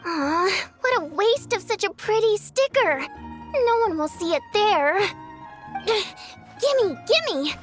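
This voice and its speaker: High-voiced, playful, bossy young performer. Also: female voice, very animated, slow pace, soft background music, heavily acted. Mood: impatient. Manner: theatrical, teasing, commanding. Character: spirited young female stage performer, playful, bossy and affectionate. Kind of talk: video game voice acting.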